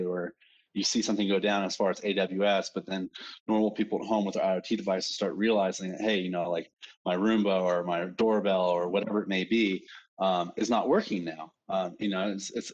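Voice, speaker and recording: A man's voice: on the low side, a little mellow, husky. A man co-hosting a live security stream, speaking casually with lots of fillers and repeated words. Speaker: threat researcher co-host.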